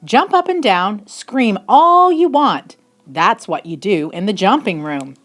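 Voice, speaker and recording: A woman voicing an eager young boy, a proud storybook tour guide who announces everything loudly and excitedly. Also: mid-range voice, slow pace. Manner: loud excited announcing and commanding.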